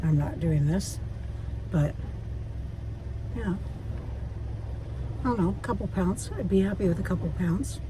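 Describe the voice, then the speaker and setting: Raspy-voiced woman, unhurried and blunt, car recording with clear road noise. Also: on the low side, animated, far from the mic. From Canada. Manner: candid, frank, swears freely. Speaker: vlogger. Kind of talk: casual chat while driving. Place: inside a car. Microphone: phone or camera mounted in the car.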